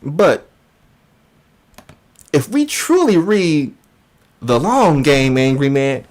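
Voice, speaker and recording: Slow, emphatic online commentator. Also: medium pitch, very animated, darkish tone. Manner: emphatic, repetitive, incredulous. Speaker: YouTube commentator. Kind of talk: mocking call-out commentary.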